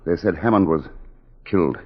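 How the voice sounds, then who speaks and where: An actor in a 1940s radio drama playing an anxious, devoted plantation husband, urgent and pleading. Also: male voice, low voice, normal speed, slightly rough. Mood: grave, pleading. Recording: muffled 1940s radio recording with music.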